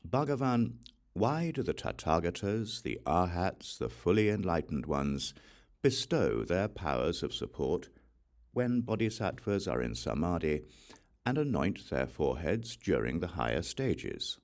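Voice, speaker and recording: A man's voice: low voice, very animated, measured pace, darkish tone, heavily acted. A man reading the part of an earnest male disciple who puts a respectful question to his teacher. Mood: reverent.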